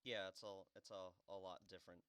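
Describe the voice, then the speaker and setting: A man's voice: low-pitched, steady tone, quick pace, fairly bright tone, smooth, no echo. A man hosting a game playthrough with easygoing, wry, casual commentary into a close mic.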